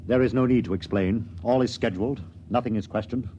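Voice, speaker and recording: A curt, authoritative ship superior, as an actor plays him, from an old science fiction radio drama. His voice is on the low side and clipped. Clear tape hiss runs under the vintage broadcast. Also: a little fast, warm tone, lo-fi sound, lightly acted. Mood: commanding. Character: male ship officer, cold and commanding. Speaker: character in a 1950s radio play. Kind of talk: 1950s radio acting.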